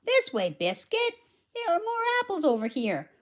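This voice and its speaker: A woman voicing a cheerful little girl in a picture book, reading her lines in a high sing-song way. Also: huge pitch swings, moderate pace, exaggerated voice.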